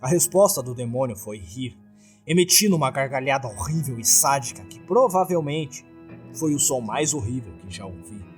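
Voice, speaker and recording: A man's voice: medium pitch, lively intonation, normal speed, faint music behind. A man with a Brazilian accent narrating a scripted horror story.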